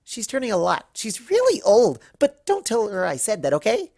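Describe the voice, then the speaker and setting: A narrator voicing a hyper, bubbly young female cartoon pony who gushes excitedly and teases. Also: medium pitch, creaky, voice pushed up, full character voice. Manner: excitable, gushing, drawn-out teasing words.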